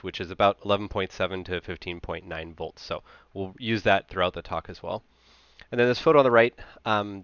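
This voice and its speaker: A man gives an informal technical talk in a notably low voice, explaining things step by step in a conversational way. He sounds like a conference presenter on a headset. The recording is very dry and a little muffled. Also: fairly bright tone, clear, resonant tone, no room sound.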